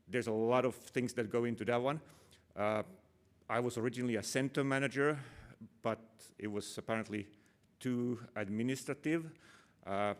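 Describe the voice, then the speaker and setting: Rambling middle-aged man giving a conference talk. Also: mid-range voice. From Finland. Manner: casual, rambling, self-deprecating, many fillers and restarts. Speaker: conference speaker, operations manager. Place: conference room.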